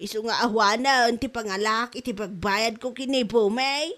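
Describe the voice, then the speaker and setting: A woman's voice: a little high, normal speed, fairly bright tone, lightly acted. A woman playing a hard-up Filipino mother full of excuses, melodramatic, with an Ilocano accent, in a comedy drama. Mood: regretful. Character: hard-up, excuse-making Filipino mother dodging her debts.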